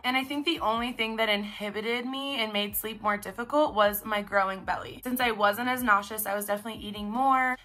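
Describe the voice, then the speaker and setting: A young woman with a raspy, congested voice, chatting casually in a vlog. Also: medium pitch, pushed and bright. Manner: chatty, upbeat, filler-heavy. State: congested, stuffed up. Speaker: vlogger. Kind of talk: casual pregnancy recap vlog.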